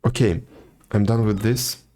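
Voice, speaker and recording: A man chatting casually as a live game streamer, musing aloud in a low-key, halting way. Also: fairly even intonation, mellow, slight background noise.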